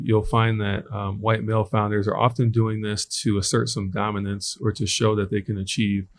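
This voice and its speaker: Low-voiced man musing on a panel. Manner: thoughtful, filler-heavy, builds points slowly. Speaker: startup founder and panelist. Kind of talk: panel discussion. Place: conference stage.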